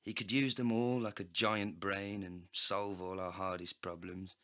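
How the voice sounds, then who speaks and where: A man's voice: low voice, fairly even intonation, measured pace, fairly bright tone, dry recording, studio quality. A man delivering scripted first-person narration for a comedy story, speaking in character as the narrator.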